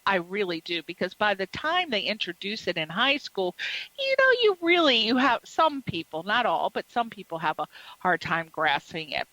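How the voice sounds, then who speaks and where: A woman's voice: a little high, sharp tone, dry recording, rough recording. A woman hosting a radio interview show, gushing with praise and chatting casually with her guest.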